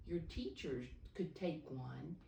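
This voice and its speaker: A woman reading a picture book aloud to small children, pausing to ask simple questions and explain. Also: low-pitched, animated, gravelly, dark tone, a little hiss or hum. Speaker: preschool storybook reader, teacher figure. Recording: roomy echo, distant mic.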